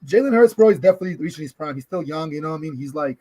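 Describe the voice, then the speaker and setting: Hoarse guy rattling on quick, kinda high, raspy, tons of "you know". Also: animated, dark tone. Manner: rambling, full of "you know". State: hoarse, losing his voice. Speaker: podcast guest. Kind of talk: casual sports chat.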